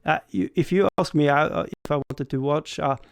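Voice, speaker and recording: A man's voice: a little high, lively intonation, brisk, gravelly, no room sound, clean studio sound. A young man, an English speaker, hosting a casual livestream, rambling with many stammered restarts and self-deprecating laughs. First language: Swedish. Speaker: livestream host.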